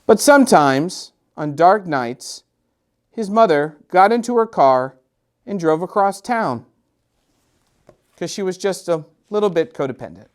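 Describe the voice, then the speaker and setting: A middle-aged man reads a picture book to children expressively, drawing out repeated phrases with gentle, dramatic emphasis. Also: high-pitched, animated. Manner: gentle, drawn-out, sing-song repetitions with dramatic emphasis. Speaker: storytime host and children's author.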